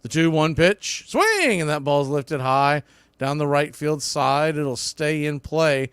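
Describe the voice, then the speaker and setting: A man doing live baseball radio play-by-play in short, clipped phrases, rising to excitement when the action calls for it. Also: a little high, slow pace, clean tone, no room sound. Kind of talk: live sports radio commentary.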